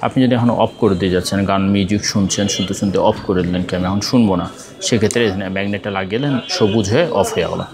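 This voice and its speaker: A man with a Bangladeshi accent speaks in a fairly low voice at a quick clip. He presents products like a shop seller walking customers through features off the cuff, circling back over his points and dropping in small verbal check-ins. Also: a crowd in the background. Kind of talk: unscripted product demonstration.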